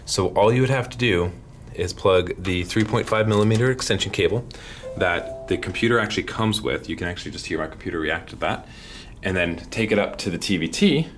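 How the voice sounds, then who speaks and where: Low-voiced man giving a casual tutorial. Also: slight background noise. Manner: informal, explanatory, talks directly to viewers. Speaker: product demonstrator.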